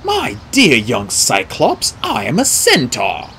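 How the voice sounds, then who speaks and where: Man voicing a measured, dignified middle-aged centaur mentor. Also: medium pitch, wildly swooping pitch, slight background noise. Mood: offended, dignified. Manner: measured, formal, deliberate. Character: wise, kindly middle-aged male centaur teacher, grave and dignified. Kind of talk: audiobook character dialogue.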